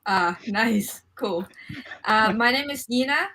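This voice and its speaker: A woman's voice: a little high, sing-song, slow pace, bright, forceful tone, slight background noise. A woman from Hong Kong speaking casually as a panelist introducing herself. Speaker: panelist, head of product design.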